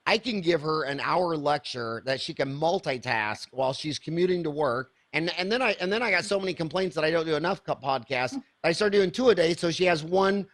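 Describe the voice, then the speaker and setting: A man's voice: on the high side, expressive, a little fast, few pauses, a bit bright. Enthusiastic rambling man in his fifties. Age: 50s. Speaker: podcast host. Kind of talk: casual interview.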